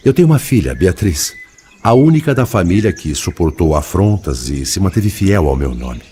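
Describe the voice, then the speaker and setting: Male spirit played by a man, low-pitched, sorrowful and resigned, measured dramatic audiobook delivery over background noise. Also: sing-song, dark tone. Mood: tender sadness. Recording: clearly noisy background.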